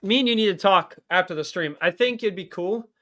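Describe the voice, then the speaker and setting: A man co-hosting a livestream, reading out announcements in an energetic, salesy way and repeating himself. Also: sits high, a little fast. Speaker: co-host of a car audio livestream.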